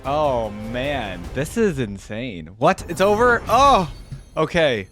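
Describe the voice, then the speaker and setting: Man, casual reaction host, enthusiastic, informal, medium pitch, music behind. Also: sing-song, slow pace, a bit bright. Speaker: host of a fan reaction channel.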